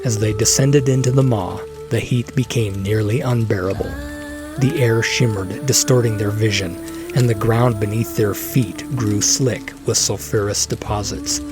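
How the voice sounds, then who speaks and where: A man's voice: lowish voice, moderate pace. A man reading scripted dramatic fantasy narration. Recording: clear music bed behind.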